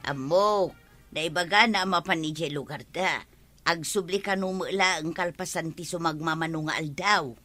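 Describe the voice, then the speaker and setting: A woman's voice: fairly low pitch, moderate pace, pushed and bright, faint music behind. A woman playing a gullible, defensive village neighbour in an Ilocano radio comedy drama, with an Ilocano accent. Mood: insistent.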